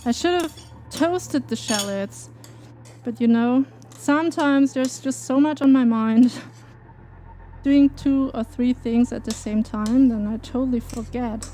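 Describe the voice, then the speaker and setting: High-voiced German woman, slow and chatty. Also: warm tone, slight background noise. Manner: chatty, laughs often, thanks viewers. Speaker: cooking streamer. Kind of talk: casual live-stream chat while baking. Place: home kitchen.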